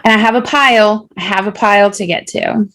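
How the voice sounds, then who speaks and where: A middle-aged woman co-hosting a podcast in a mid-pitched, enthusiastic voice. She chats easily and often repeats her phrases for emphasis. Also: fairly quick, a bit bright. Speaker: podcast co-host, educator and writer. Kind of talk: casual podcast chat.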